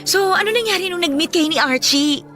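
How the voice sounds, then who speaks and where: Bright-voiced, vain popular schoolgirl. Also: extremely high pitch, expressive, a little fast, full character voice. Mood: confident, flattering. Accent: Filipino. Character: popular, confident, blunt older schoolgirl, gushing and vain. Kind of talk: radio drama acting in casual Taglish. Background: background music.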